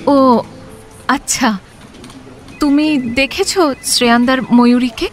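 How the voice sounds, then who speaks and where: A woman's voice: high voice, slow. A woman playing a shy, lovestruck young junior office worker in an audio drama, hesitant in manner. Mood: hesitant, curious. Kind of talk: audio story acting. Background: background music.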